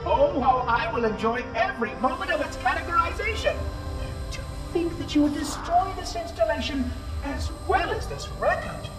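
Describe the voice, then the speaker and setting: A man voicing a prim, pompous, excitable robotic monitor with florid, theatrical delivery and an echoing synthetic effect in a video game. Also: very high-pitched, moderate pace. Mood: gleeful. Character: fussy, prim male robotic monitor, gleefully pedantic and menacing. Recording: echoing, with music behind.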